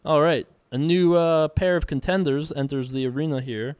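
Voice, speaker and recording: A man talks casually over his own online poker play, rambling from hand to hand. His voice sits a little high and comes through smooth and clear. The recording is dry and close, and his jokes are often at his own expense. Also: normal speed, a little mellow, no room sound. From United States. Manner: rambling, jokey, self-mocking, laughs at his own jokes. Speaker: poker video host.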